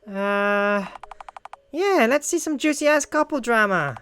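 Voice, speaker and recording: A man's voice: extremely high pitch, lively intonation, slow, fairly bright tone, a little hiss or hum. A man giving joking, sarcastic gaming commentary and reading visual novel dialogue aloud. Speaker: video game let's player.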